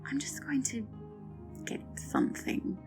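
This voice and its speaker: A woman playing a gracious, sly dragon queen in an audio roleplay, with a poised, theatrical and smoothly hospitable manner. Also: high voice, wildly swooping pitch, measured pace, whispered, music in the background, heavily acted. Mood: gracious, dreamy. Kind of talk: scripted audio roleplay, ASMR.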